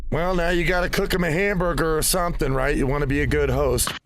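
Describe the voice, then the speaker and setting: Higher-voiced man giving casual game commentary. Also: fairly even intonation, fast, fairly bright tone. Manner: conversational, musing, rambling. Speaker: host of a gaming channel.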